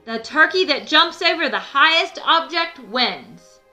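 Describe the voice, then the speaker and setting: A woman voicing a brisk male farm field-day announcer in a children's storybook, calling out loudly. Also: high voice, animated, soft background music, voice pushed up, heavily acted. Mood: rousing. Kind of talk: children's storybook read-aloud.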